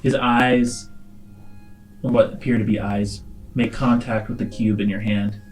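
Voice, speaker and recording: A man's voice: lowish voice, level tone, a little slow, darkish tone, faint music behind. A man narrating an improvised tabletop game as game master.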